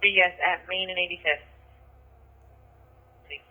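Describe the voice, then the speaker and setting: A man's voice: high-pitched, steady tone, slow. A man working as a police dispatcher, speaking in clipped procedural phrases and repeating details over a two-way radio. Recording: tinny narrowband police scanner radio.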